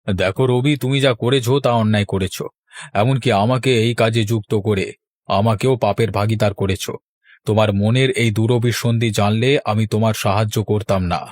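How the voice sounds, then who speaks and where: A man voicing a stern, principled middle-aged village landowner in a cartoon, speaking in a measured, formal way. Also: fairly low pitch, steady tone, dry recording, studio quality, close to an everyday voice. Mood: stern, indignant. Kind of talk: cartoon voice acting.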